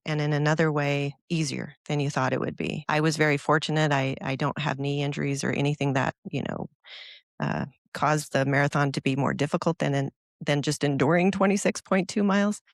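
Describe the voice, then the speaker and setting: A woman's voice: low voice, creaky, no echo, clean studio sound. A woman hosting an interview, asking encouraging questions and telling her own stories in a conversational way. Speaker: interview host.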